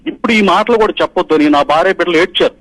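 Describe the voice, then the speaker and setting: A man's voice: sits high, rapid-fire, fairly bright tone, low-quality recording. A middle-aged man from Andhra Pradesh calling in by phone as a party polling agent, giving an urgent, emphatic account to the media. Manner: urgent, pressing, emphatic retelling. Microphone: phone call.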